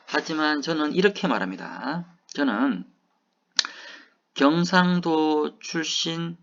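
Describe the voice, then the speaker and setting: A man's voice: fairly high pitch, expressive, slow, a bit bright. A middle-aged man from South Gyeongsang with a Gyeongsang Korean accent, a professor lecturing who keeps asking rhetorical questions and answering them himself. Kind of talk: recorded lecture. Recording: dull, lo-fi lecture recording.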